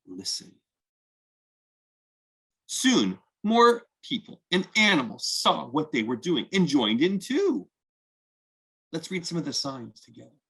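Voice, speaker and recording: A man hosting a children's read-aloud, telling a picture book story expressively and deliberately, inviting listeners to join in. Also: a little high, sing-song, creaky, fairly bright tone, husky.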